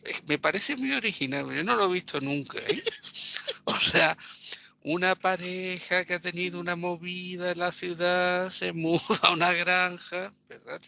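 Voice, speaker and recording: A man with a gravelly voice, co-hosting a podcast and mocking a film in sarcastic casual chat, laughing often. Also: high-pitched, fairly even intonation, unhurried, bright, forceful tone. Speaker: podcast co-host. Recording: dull lo-fi podcast audio.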